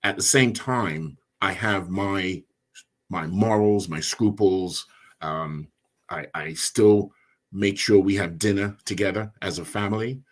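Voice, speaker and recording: Middle aged guy hosting a podcast, deep and kinda husky, slow careful talk. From immigrant, came as a child. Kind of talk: casual discussion.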